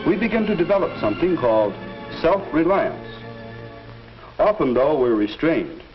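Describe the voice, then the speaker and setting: An actor playing a patient male teacher in a 1950s educational film, speaking in a measured, instructive way on an old soundtrack. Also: a little high, big pitch swings, muffled recording, over music. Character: patient adult male school teacher counseling students.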